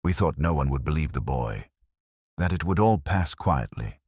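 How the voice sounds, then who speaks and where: A man's voice: rumbling low, animated, moderate pace, a little mellow, slightly rough, dry recording, studio quality, heavily acted. A man voicing a guilty, hopeless confessor in a mystery story. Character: panicked, guilt-ridden confessor in a parish scandal.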